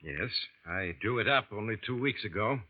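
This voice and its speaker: Husky, courteous old-time lawyer. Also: male voice, on the low side, lively intonation. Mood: probing. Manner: courteous, measured, faintly suspicious. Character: polished older male lawyer, calm and probing. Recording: muffled 1950s radio drama, slightly off-mic.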